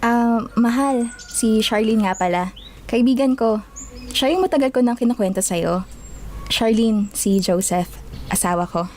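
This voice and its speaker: A gentle young mother played by a woman, her voice a little high. She is apologetic and heartfelt in audio drama style, over faint ambient noise. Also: a little slow, natural voice. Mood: soft, affectionate. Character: gentle, apologetic young mother, long-lost best friend. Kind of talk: emotional audio drama acting.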